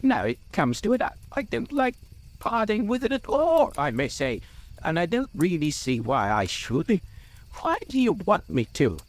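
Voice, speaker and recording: A man's voice: high-pitched, sing-song, measured pace, voice pushed up. A man voicing a stubborn, suspicious hobbit in a storybook, with a stammering, defensive, insistent manner. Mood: suspicious, annoyed. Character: stubborn, suspicious hobbit clinging to his treasure.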